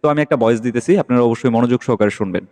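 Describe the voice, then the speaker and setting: A man's voice: mid-range voice, racing, warm tone. A man hosting a casual tech tutorial, explaining an app step by step and often checking that listeners follow. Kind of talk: casual screen-recorded app walkthrough. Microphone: phone microphone during screen recording. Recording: long echoing reverb.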